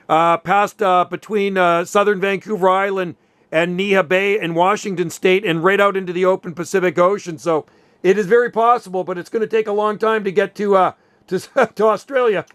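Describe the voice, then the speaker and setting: A man's voice: sits high, fairly bright tone, clean tone, no echo. A man chatting casually as an amateur radio operator, easygoing and rambling as he tells stories. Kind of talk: casual radio chat, storytelling.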